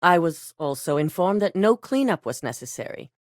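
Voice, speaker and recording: A cold corporate executive. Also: female voice, fairly low pitch, very animated, moderate pace, no echo, studio quality. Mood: cold authority. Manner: curt, authoritative, businesslike. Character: cold, commanding female corporate executive, middle-aged. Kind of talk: video game dialogue.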